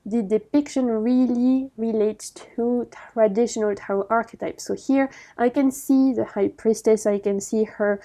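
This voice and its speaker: Casting note: friendly, enthusiastic female reviewer, slightly high voice, warm mellow tone. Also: steady tone, measured pace, few pauses. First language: not Chinese. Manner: chatty, enthusiastic, self-correcting. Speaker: tarot deck reviewer. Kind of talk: casual review walkthrough.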